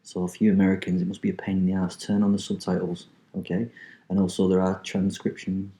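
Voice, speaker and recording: A man's voice: low voice, monotone, fast, dark tone, rough recording. A man with a Manchester accent, hosting a podcast, rambling casually with swearing and lots of ums, then reading out a story he wrote. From England. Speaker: podcast host.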